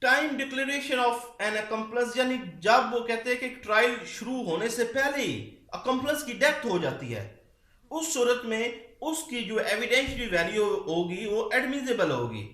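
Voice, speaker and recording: A man with a Pakistani accent giving a law lecture, explaining and reading out passages in a deliberate, repetitive way. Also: very high-pitched, lively intonation, bright, forceful tone. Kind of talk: lecture mixing read-out English passages with explanation. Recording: roomy, echoing voice recording.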